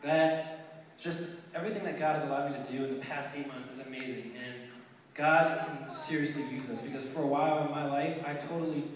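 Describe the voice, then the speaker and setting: A nineteen-year-old man from Romania giving an earnest, halting testimony to an audience, with many ums and restarts. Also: fairly high pitch. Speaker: speaker telling his adoption story. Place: large hall with an audience. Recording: echoey hall from far off.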